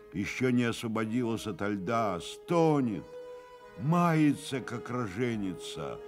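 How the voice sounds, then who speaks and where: An actor playing the grave, dramatic narrator of a village tale in a Soviet radio drama. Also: male voice, on the low side. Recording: archival Soviet radio recording with music.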